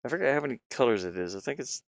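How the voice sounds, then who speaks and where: A man giving casual, halting live commentary as he works on game art, muttering and thinking aloud. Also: medium pitch, expressive, vocal fry, dry recording. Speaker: streamer and hobby game designer.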